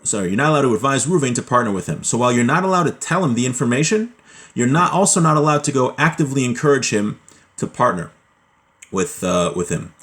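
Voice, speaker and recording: A man's voice: medium pitch, fast. Man explaining laws from a Hebrew text. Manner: reads Hebrew passages, then explains them plainly in English; relaxed, with frequent fillers and self-corrections. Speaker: Torah teacher.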